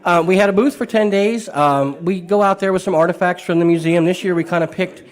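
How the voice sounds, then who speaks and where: Hoarse guy with a summer cold, kinda high-ish voice, friendly chatty guest. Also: brisk. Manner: friendly, chatty, laughs easily. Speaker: guest from a local historical society. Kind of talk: casual radio interview.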